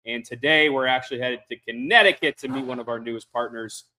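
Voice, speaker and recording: A man's voice: medium pitch, fast talker, bright, forceful tone, rough recording. Laid-back male podcast host. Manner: laid-back, filler-heavy, says "kinda" often. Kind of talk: casual podcast interview.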